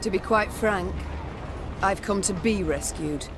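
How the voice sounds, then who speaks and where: A woman's voice: medium pitch, a little slow, over music, lightly acted. A woman playing a proud, blunt warrior queen in a video game, stern and commanding. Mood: grave, commanding. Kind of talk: dramatic video game acting.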